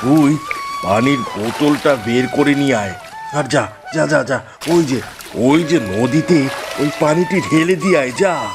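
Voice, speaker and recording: Man voicing a scheming, slow-talking evil sorcerer. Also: fairly high pitch, huge pitch swings, music in the background, heavily acted. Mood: agitated, commanding. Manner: deliberate, gloating, commanding. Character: menacing male tantric sorcerer, scheming and boastful. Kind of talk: Bengali fairy-tale cartoon dubbing.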